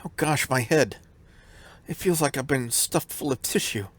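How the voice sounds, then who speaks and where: A man's voice: medium pitch, moderate pace. A man hosting a let's play, reading visual novel narration aloud in a dramatic storytelling style. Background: music.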